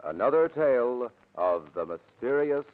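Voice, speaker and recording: Man, radio announcer, formal, polished, 1940s style, mid-pitched, thin band-limited old recording. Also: sing-song, measured pace, narrow, tinny audio.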